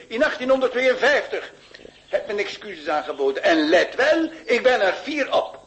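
A man's voice: sits high, a bit bright, exaggerated voice. A man playing a fussy, moralizing, long-winded period doctor in a comic radio play. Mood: pompous, moralizing. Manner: pompous, hesitant, tutting, rambling.

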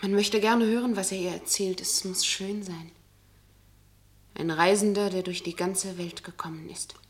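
A woman's voice: medium pitch, fairly even intonation, normal speed, natural voice. An actress playing a curious, wistful woman in a literary radio play. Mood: wistful curiosity.

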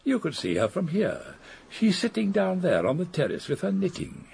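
An actor playing a courteous, urbane older English gentleman in a British radio drama. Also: high voice, wildly swooping pitch, moderate pace, gravelly, breathy edge, exaggerated voice. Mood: admiring. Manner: courteous, genteel. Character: urbane older gentleman, courteous, loyal friend of the victim's family.

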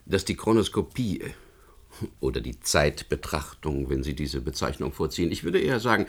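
An actor playing a weary, affable middle-aged department head in a German radio play, speaking with measured official politeness. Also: male voice, rumbling low, very animated, heavily acted. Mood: polite, evasive.

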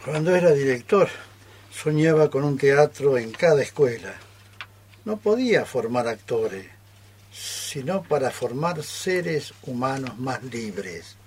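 Man acting nostalgic idealistic male former headmaster, medium pitch, slightly slow, radio theatre. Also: lively intonation, darkish tone, slight background noise. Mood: nostalgic, heartfelt.

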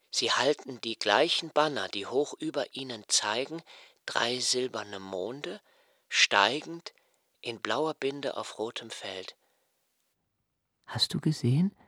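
An actor playing a young nobleman who narrates a literary radio play in a slow, hushed, reflective way. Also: mid-range voice, dry recording, studio quality, heavily acted. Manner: slow, hushed and reflective, dropping to near whispers. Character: young nobleman Malte, brooding poetic narrator.

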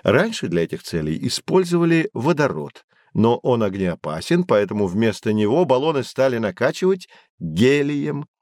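Actor as animated male teacher guiding children. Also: medium pitch, moderate pace, no room sound, clean studio sound, lightly acted. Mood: patient, explanatory. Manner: clear didactic storytelling to children. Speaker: guide explaining history and technology. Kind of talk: educational audio drama, scripted explanation.

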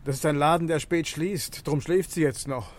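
Higher-voiced reserved police inspector played by a man, noisy. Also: steady tone, moderate pace, natural voice. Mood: matter-of-fact. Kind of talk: German crime radio play acting.

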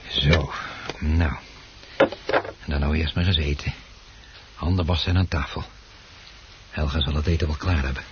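An actor playing a secretive, henpecked husband in a Dutch radio play, hesitant and often repeating himself. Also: male voice, rumbling low, steady tone, normal speed, pauses often. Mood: absorbed, muttering. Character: secretive henpecked husband obsessed with his rare plant. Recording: dull noisy old radio play recording.

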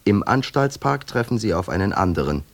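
An actor playing an asylum inmate who narrates a radio play in a measured, obsessive, self-correcting way. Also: male voice, lowish voice, sing-song.

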